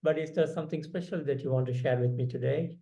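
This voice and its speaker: A man's voice: on the high side, fast talker, vocal fry, dark tone, highs cut off. A man interviewing a musician, asking earnest questions full of praise, with hesitant fillers.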